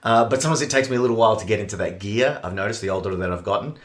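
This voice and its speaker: A man's voice: lowish voice, rapid-fire. A man from Australia co-hosting a casual comedy podcast, teasing and jokey.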